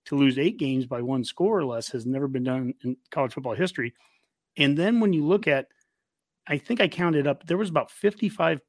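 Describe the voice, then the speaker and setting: A man's voice: mid-range voice, no room sound, studio quality. An American man hosting a casual college football talk show, speaking emphatically and repeating points for stress. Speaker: podcast host.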